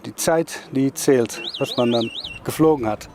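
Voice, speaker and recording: A man's voice: medium pitch, unhurried, background noise. A man from the Netherlands, a Dutch native speaker, explaining the flight in hesitant German full of fillers. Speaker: competition pilot.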